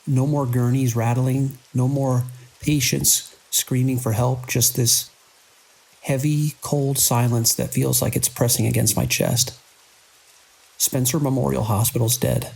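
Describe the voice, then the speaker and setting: An actor playing a beleaguered, idealistic hospital director in a horror audio drama, speaking earnestly and urgently in halting phrases. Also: male voice, mid-range voice, steady tone, vocal fry, darkish tone, breathy edge, low-quality recording. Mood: shaken, haunted.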